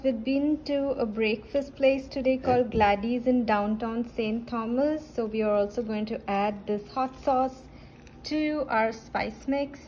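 Slow-talking upbeat woman narrating cooking. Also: sits high, warm tone, a little hiss or hum, poor audio. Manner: upbeat, walks through each step. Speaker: travel vlogger. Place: holiday rental kitchen.

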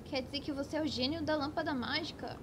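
A woman's voice: sits high, fast, natural voice. A woman playing a mournful, whiny old granny with a Brazilian accent in a cartoon comedy. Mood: pleading. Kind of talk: cartoon comedy sketch acting.

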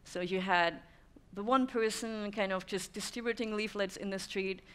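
A woman's voice: medium pitch, steady tone, normal speed, a bit bright, clean tone. A German woman in her thirties giving a conference talk to an audience, thoughtful, with many "um" fillers. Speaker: speaker. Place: dim stone-walled room with audience.

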